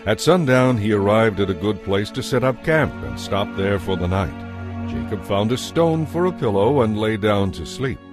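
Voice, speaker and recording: Lowish-voiced man narrating scripture. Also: lively intonation, normal speed, music in the background.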